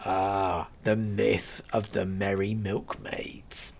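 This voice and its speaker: A man's voice: fairly low pitch, little pitch movement, drawn-out words, vocal fry, a little hiss or hum, exaggerated voice. A man reading aloud, voicing a cheerful, teasing farmer in his forties who chats freely. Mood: jovial. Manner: jovial, teasing, chatty.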